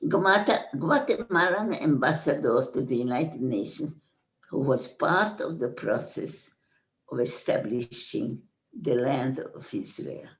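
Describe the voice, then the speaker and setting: A woman in her nineties with a Hungarian accent, giving slow, halting testimony as a survivor. She often restarts phrases and checks in with "Okay?". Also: very deep voice, animated. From Hungarian-speaking Central Europe. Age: 90s. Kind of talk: slow personal recollection. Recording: roomy echo.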